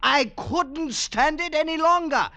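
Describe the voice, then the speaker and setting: An actor playing a talkative, nervy little hunchbacked former stage actor in a florid, melodramatic radio-drama style. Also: male voice, very high voice, a little slow, pushed and bright, exaggerated voice. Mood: fretful, melodramatic. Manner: florid, theatrical, flighty delivery. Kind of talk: classic radio drama acting.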